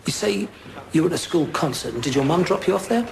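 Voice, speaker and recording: A British man playing a steady police detective, asking measured, probing questions in a naturalistic drama style. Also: a little high, gravelly, noisy background. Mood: gently probing.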